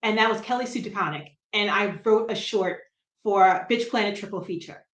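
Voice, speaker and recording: A middle-aged woman from New Jersey with a light Brooklyn accent, a comic writer chatting as a guest, self-deprecating and quick to laugh. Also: medium pitch. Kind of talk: casual interview chat. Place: room at home. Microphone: video call. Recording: roomy echo on a video call.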